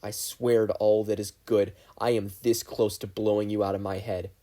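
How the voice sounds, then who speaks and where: A performer voicing a proud, hot-tempered teenage boy who sounds gruff and irritable. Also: low-pitched, little pitch movement, moderate pace, warm tone, close to an everyday voice. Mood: irritated.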